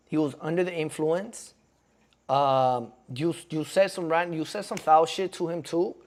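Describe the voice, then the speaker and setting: A man in his twenties rambling in slangy casual commentary as he reacts on stream, constantly checking in with his chat. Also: fairly high pitch. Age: 20s. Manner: slangy and rambling, keeps asking the chat "you feel me". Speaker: streamer reacting to a podcast clip.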